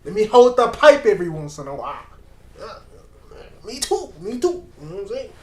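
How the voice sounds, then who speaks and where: A man with a fairly high voice chats through a reaction video in a loose, slangy way. He is lively and repeats points for emphasis. The sound is roomy and echoey. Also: a little fast. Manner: animated, slangy, repeats himself. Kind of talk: casual reaction commentary.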